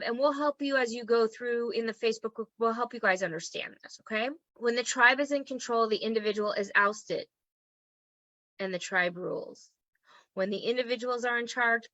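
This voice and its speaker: A woman leads an online class in a chatty, encouraging way and keeps checking in with her listeners. Her voice is bright and a little high, though strained from losing it. The recording is dry and slightly muffled. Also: dry recording. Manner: chatty and encouraging, frequent "okay?" check-ins. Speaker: human design teacher. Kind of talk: casual teaching talk to an online group.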